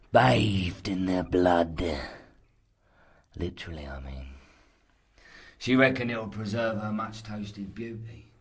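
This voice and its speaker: An actor playing a coarse, lecherous, gluttonous castle guard with a sardonic, earthy delivery in an audio horror drama. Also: male voice, fairly low pitch.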